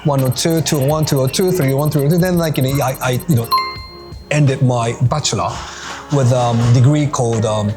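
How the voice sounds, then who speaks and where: A Japanese man speaks English with an accent that mixes American, British and Australian sounds. He talks in a chatty interview manner at a mid pitch, with many fillers and laughs. Clear music plays behind him. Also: brisk. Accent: mix of American, British and Australian. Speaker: guest. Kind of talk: casual interview chat.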